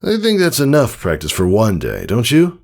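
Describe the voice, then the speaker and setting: A man voicing an injured pegasus father who is stoic and gently reassuring in a storybook reading. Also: medium pitch, wildly swooping pitch, normal speed, gravelly, voice pushed up, heavily acted. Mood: wry, encouraging. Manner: gently reassuring, downplaying pain. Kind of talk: fan fiction audiobook reading.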